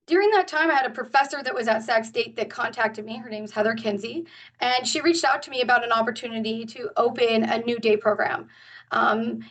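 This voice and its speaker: A woman's voice: a little high, fairly bright tone. A woman in her forties from Northern California, giving an earnest presentation at a community meeting, with frequent fillers and restarts. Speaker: guest presenter. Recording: distant from the mic.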